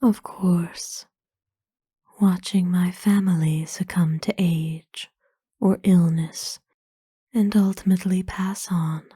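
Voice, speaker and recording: A woman voicing a kind, ancient aristocratic vampire lady in a whispered ASMR roleplay, speaking softly with formal, soothing, wistful phrasing. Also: on the low side, steady tone, slow pace, dry recording, clean studio sound, exaggerated voice. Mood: wistful, reflective. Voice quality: soft, breathy whisper.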